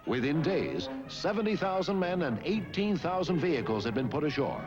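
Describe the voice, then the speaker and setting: A man's voice: a little high, sing-song, normal speed, dull audio, over loud music. A man reading scripted historical narration for a war documentary.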